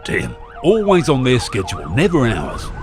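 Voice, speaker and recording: A daft, overconcerned father in a comic radio play, as a man acts him. He is earnest and urgent, with very varied pitch. Music runs underneath. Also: huge pitch swings, normal speed. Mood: grave concern. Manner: mock-grave, urgent, earnest. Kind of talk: comedic radio play acting.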